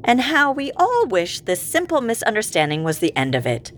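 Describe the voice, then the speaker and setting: A woman's voice: on the high side, wildly swooping pitch, moderate pace, slight background noise. An actress playing an exasperated, sarcastic woman boss in a comedy audio drama, piecing together a fiasco. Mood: weary recounting.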